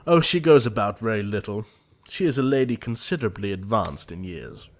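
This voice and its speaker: A man playing an earnest, formal young gentleman of twenty-nine in a theatrical audio drama, polite and deferential. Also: lowish voice, animated, brisk.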